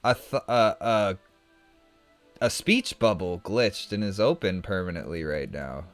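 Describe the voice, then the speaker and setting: A man's voice: sits low, measured pace, soft background music. A man live-streaming a game and chatting casually, telling a rambling story with lots of restarts and fillers. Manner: animated, rambling, many restarts and "like". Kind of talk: casual storytelling while gaming.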